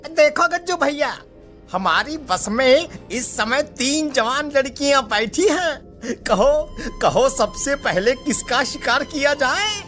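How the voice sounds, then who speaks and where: A woman's voice: sits high, lively intonation, slow pace, fairly bright tone, faint music behind, heavily acted. A woman voicing a crooked, wheedling male henchman in a horror cartoon. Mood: scheming.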